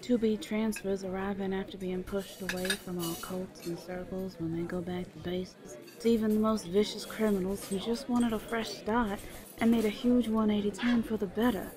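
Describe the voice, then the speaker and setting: A woman's voice: mid-range voice, little pitch movement, moderate pace, a crowd in the background, close to an everyday voice. Woman acting a brash, folksy small-town matron. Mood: frank, reassuring. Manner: folksy, blunt, teasing. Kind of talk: audio theater acting.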